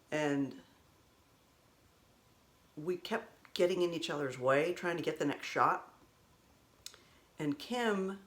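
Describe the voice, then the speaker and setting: A woman with a low voice telling rambling stories in a casual, chatty way, laughing now and then. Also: a bit bright. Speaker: vlogger. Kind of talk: casual storytelling.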